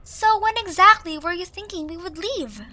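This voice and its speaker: Tiny high-voiced creature child. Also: female voice, moderate pace, pushed and bright, slight background noise. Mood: plaintive. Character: sweet, anxious little creature child.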